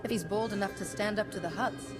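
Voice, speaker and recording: Game heroine woman acting: steadfast, calm, formal, mid-range voice, prominent music behind. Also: mid-range voice, brisk, close to an everyday voice. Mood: calm resolve. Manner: composed, earnest, formal. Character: calm, steadfast female warrior-monk hero, noble and earnest. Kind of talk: scripted video game acting.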